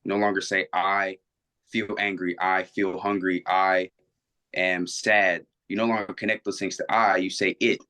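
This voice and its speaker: Young man, twenties, very low voice, reflective and rambling, video-call guest. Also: little pitch movement, unhurried, bright, forceful tone. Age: 20s. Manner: earnest, rambling with many restarts. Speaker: interview guest. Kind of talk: reflective casual conversation.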